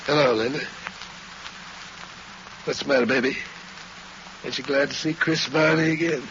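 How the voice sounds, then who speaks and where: A man's voice: medium pitch, fairly even intonation, normal speed, fairly bright tone, natural voice. An actor playing a smug, cruel gangster boss with taunting tough-guy slang in an old-time radio crime drama. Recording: noisy, muffled vintage radio recording.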